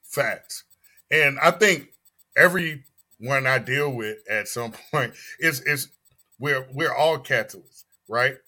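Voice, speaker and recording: A man in his early forties hosting a podcast, talking in an animated, emphatic way and often repeating phrases. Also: medium pitch, slow. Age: 40s. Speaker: podcast host. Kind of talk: casual chat.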